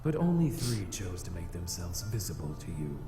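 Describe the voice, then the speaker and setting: Man playing a low, echoing, proud flame demon. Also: big pitch swings, mellow. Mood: solemn, guarded. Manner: formal, measured, haughty wording. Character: proud, aloof male flame demon, easily flustered. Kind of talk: scripted visual novel character acting. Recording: echoey voice over background music.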